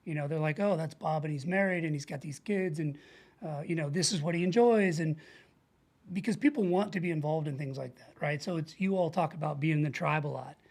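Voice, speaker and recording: A middle-aged man with an American accent and a fairly high voice talks in a casual interview style. He sounds earnest and informal, explaining things as a coach would, with quick everyday examples. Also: creaky. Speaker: podcast guest, leadership coach.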